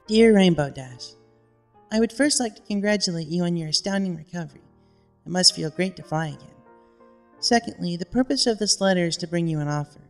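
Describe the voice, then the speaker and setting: Casting: woman as confident cartoon Pegasus captain, formal and declarative, lower-set female voice, music behind. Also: expressive, fairly quick, a little mellow. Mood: formal, congratulatory. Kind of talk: scripted character reading.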